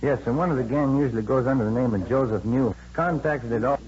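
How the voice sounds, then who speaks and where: An actor playing a plain-spoken American police detective partner in a radio drama, reporting in a terse, matter-of-fact way. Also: male voice, medium pitch, fast, darkish tone, lightly acted. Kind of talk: 1950s radio drama acting. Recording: muffled old radio recording, faint hum.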